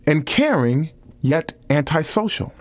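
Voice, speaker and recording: A man reads scripted nonfiction as an audiobook narrator, with measured, deliberate phrasing. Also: on the high side, big pitch swings, no room sound, studio quality.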